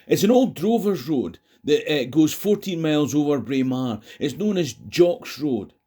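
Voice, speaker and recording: A man's voice: a little high, expressive, a little slow, mellow. A Scottish man presenting as a history tour guide, speaking to camera in a conversational, wry way. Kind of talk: history travel storytelling to camera. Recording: noisy outdoor location, traffic noise.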